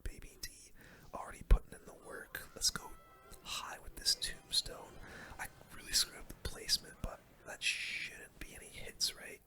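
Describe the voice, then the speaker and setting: ASMR game commentator, breathy whisper, very bright hissy tone, casual gamer slang, relaxed play-by-play. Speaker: gaming video host.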